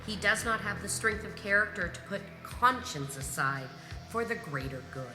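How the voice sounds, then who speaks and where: A woman playing a ruthless, obsessive grand marshal in a science fiction audio drama, speaking formally and deliberately with command. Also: on the low side. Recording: echoey hall reverb, music underneath.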